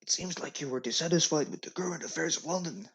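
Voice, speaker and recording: A man's voice: on the high side, fast, a bit bright, husky, pitched above the performer's own voice. A man putting on a generic, cocky London recruit with a brash, slangy manner, on dull lo-fi webcam audio. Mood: mocking. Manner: brash, slangy street greeting.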